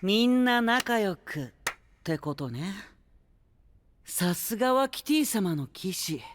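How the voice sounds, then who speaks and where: A man playing a shrewd, poised young knight in an anime audio drama, measured and faintly theatrical, with a slight tease. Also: high-pitched, sing-song, noisy background, full character voice. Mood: arch, admiring.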